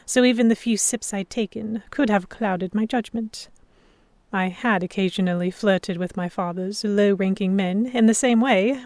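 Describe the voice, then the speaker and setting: A woman's voice: mid-range voice, darkish tone, no room sound, clean studio sound, close to an everyday voice. A woman playing a defiant, sheltered young lady held by pirates, narrating an audio drama in first person with articulate, literary phrasing. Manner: articulate, literary, reflective storytelling.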